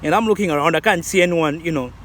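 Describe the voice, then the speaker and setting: A man in his late thirties with a fairly high-pitched voice. He muses in a loose, reflective way, circling back and restarting his thoughts. Faint traffic hums behind him outdoors. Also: very fast, fairly bright tone. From Zambia. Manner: reflective, rambling, many restarts. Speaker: vlogger. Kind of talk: casual vlog commentary.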